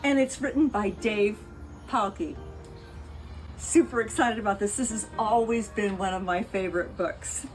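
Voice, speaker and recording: Woman, children's storybook read-aloud, unhurried pace, expressive and playful, clear music behind her. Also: mid-range voice, low-quality recording. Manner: expressive, deliberate, with playful asides to listeners. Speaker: picture book reader for a pet rescue show.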